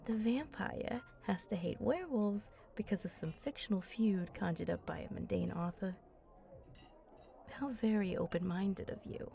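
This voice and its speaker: Flirty, gravelly-soft vampire played by a woman. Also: mid-range voice, normal speed, natural voice. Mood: teasing. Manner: soft, flirtatious, playful, often dropping into breathy murmurs. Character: flirty, teasing, confident female vampire at a bar. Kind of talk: ASMR roleplay, intimate one-sided chat.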